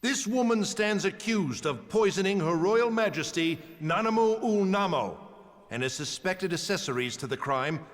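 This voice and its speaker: An actor playing a smug, menacing officer in a fantasy video game, formal and declamatory with a mocking edge. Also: male voice, high voice, expressive, moderate pace. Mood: stern, formal. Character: smug, menacing military officer announcing charges. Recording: long hall reverb.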